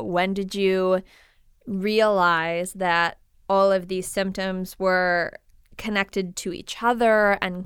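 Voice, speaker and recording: A woman interviewing a guest, asking measured, open questions. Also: mid-range voice, clear, resonant tone, no room sound, clean studio sound. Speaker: podcast interviewer.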